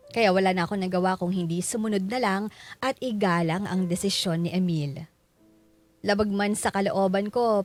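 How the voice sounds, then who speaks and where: A woman's voice: medium pitch, natural voice. A woman playing a wife who reflectively narrates her story in a Filipino radio drama. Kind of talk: scripted first-person radio drama narration.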